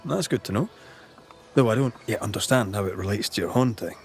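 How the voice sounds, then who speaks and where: A man's voice: on the low side, lively intonation, brisk, faint music behind. A man voicing a blunt, seasoned ghost hunter with a Scottish accent and a dry, probing manner in a video game. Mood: wry, blunt. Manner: dry, probing, plain-spoken.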